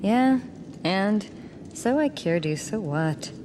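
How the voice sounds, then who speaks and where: A proud, guarded young woman medium in a dramatic video game. She speaks slowly at a middle pitch, curt and scornful, with faint ambience behind her. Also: animated, a little mellow, slight background noise. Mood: dismissive. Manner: cold, dismissive, deliberate delivery.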